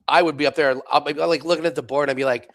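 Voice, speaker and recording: Laughing American man with gravelly voice. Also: a little high, fairly even intonation, very fast. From United States. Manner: laughs often, wry, calls scores play by play. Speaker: co-host calling darts bets. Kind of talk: casual live watch-along chat.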